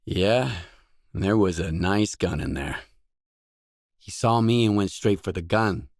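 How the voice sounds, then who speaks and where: An actor voicing a gruff, guarded lone stalker for hire in a video game, speaking in terse, dry, self-assured lines. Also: male voice, low-pitched, lively intonation, takes it slowly, a little mellow, no room sound, exaggerated voice.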